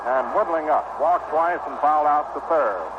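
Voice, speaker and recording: An American man calling baseball play-by-play on 1960s radio in a measured, descriptive way with pauses. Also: a little high. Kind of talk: live 1960 radio sports commentary. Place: ballpark broadcast booth. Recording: noisy muffled vintage 1960 radio broadcast.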